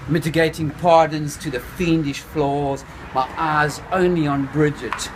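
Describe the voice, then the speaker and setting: A man reading his own novel aloud in the first person, unhurried, lingering on phrases and letting the pace drift between slow and quick. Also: on the high side, low-quality recording. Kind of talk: first-person literary narration. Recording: steady background noise with passing traffic.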